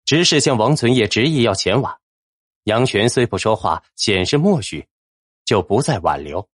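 A man's voice: on the low side, animated, moderate pace, breathy edge, no echo, clean studio sound. A man narrating a fantasy novel in a dramatic, scripted storytelling style. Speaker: audiobook narrator.

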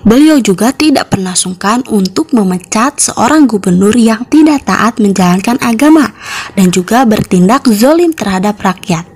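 A young woman teaches a school lesson in a slightly breathy, husky voice. She reads her material in an orderly, instructional way, point by point, for schoolchildren. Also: mid-range voice, expressive, moderate pace, darkish tone. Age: young adult. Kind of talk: scripted lesson narration for schoolchildren.

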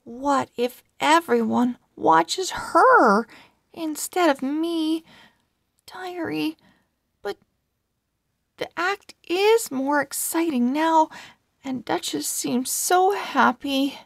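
A woman reading a children's storybook aloud in a careful, deliberate and expressive narrating style. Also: sits high, creaky, dry recording, clean studio sound. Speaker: children's book read-aloud reader. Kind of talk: slow expressive storybook narration for early readers.

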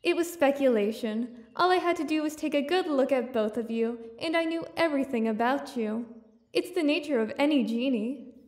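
Woman playing a high-voiced, echoing, regal genie. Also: normal speed, darkish tone, close to an everyday voice. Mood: formal, imperious. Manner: formal, grandiose, condescending. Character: regal, centuries-old female genie, haughty and cordial. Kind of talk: audio theater acting. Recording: roomy, echoing voice.

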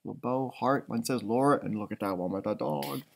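A man's voice: mid-range voice, fairly quick, vocal fry. An American man in his thirties reading a children's storybook aloud expressively, with chatty asides. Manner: animated, commenting on pictures. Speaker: storybook reader for schoolchildren. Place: indoors, small library room.

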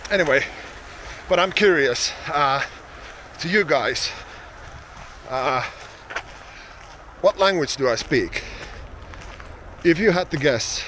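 Slow-talking Finnish man outdoors. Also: a little high, animated, fairly bright tone. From Finland. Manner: thinking aloud, many fillers and restarts. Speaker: vlogger. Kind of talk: casual vlog while out running. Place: outdoors on a snowy roadside. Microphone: small wired mic, camera held close. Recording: outdoor wind and traffic noise.

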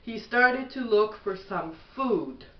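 A woman's voice: fairly high pitch, expressive, slow pace. A middle-aged mother reading a children's storybook aloud at bedtime, recorded on a camera across a bedroom. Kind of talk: slow storybook read-aloud. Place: small bedroom at home, indoors. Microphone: camera a few feet away. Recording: dull, echoey, distant camera mic.

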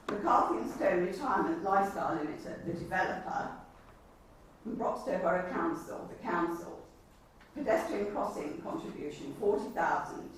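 English lady councillor talking formally, deliberate, big echoey room with faint noise behind her. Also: lowish voice, distant mic. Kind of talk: formal meeting speech, reading documents. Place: indoor council meeting room. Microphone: distant camera microphone.

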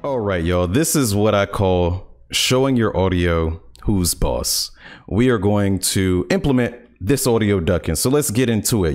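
A man in his thirties hosting a casual, upbeat streaming tutorial, joking as he explains, recorded at home on a studio mic on a boom arm. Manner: upbeat, playful, joking asides. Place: home streaming room, indoors. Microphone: large studio condenser on boom arm.

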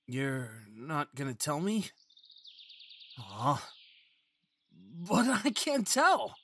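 A man's voice: fairly high pitch, fairly bright tone, breathy edge, no echo, full character voice. A young man acting a timid, eager, naive male faun who stammers and pleads sweetly in an ASMR fantasy roleplay. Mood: hesitant, curious. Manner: stammering, hesitant, childlike eagerness.

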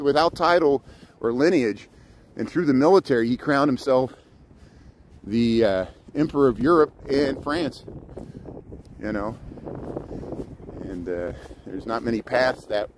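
A middle-aged man from Indiana with a Midwestern American accent, chatting casually and haltingly as he livestreams a walk outdoors. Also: mid-range voice. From Indiana, United States. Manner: halting, chatty, jumping between subjects. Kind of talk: casual rambling walk-along livestream chat. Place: outdoors, walking in a city park. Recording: noisy outdoor ambience with traffic.

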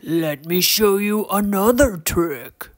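A woman voicing a mischievous male troll in a children's storybook, with a deep gravelly voice and slow, theatrical delivery. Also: lively intonation. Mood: showy, instructive. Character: mischievous gruff old male forest troll.

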